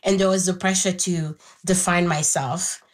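A young adult woman from Haiti with a slightly low-pitched voice. She grew up speaking Haitian Creole. She talks as an interview guest, telling her own story in a thoughtful, candid way. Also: steady tone, normal speed. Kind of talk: reflective personal storytelling in conversation.

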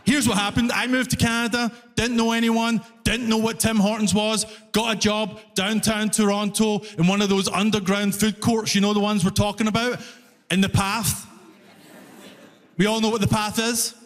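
A man's voice: high-pitched, flat delivery, moderate pace, fairly bright tone. A man with a Glaswegian Scottish accent performing a stand-up comedy routine. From Glasgow, Scotland. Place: live comedy venue on stage. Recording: live stage with long reverb.

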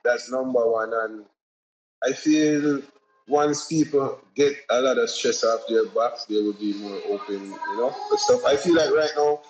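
A Jamaican man talking about his music in a relaxed interview, unhurried and repetitive, often adding "you know what I say". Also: medium pitch, a little hiss or hum, poor audio. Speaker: independent musician guest. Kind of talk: casual interview answers.

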